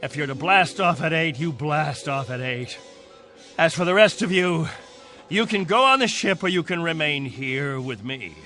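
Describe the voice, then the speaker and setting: A stubborn, bitter leader in a radio drama. The voice is sharp and somewhat high, declaiming defiantly with faint music underneath. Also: male voice, lively intonation, moderate pace. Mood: proud, resolute. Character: stubborn, bitter, domineering male captain of stranded colonists.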